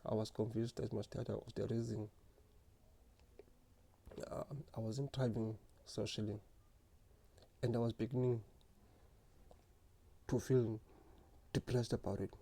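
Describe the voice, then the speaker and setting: A man telling his own story in a slow, earnest, reflective way, stuttering often with repeated words and restarts. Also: fairly low pitch, little pitch movement, gravelly, warm tone, slightly rough. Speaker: speaker sharing lived experience. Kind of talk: personal reflective monologue.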